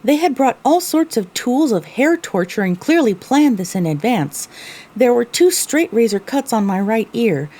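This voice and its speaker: A woman's voice: fairly high pitch, normal speed. A woman playing a young female pony, a weary, sarcastic wasteland survivor narrating her own story expressively in an audio drama. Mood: downcast. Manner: expressive, theatrical. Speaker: narrator and lead character.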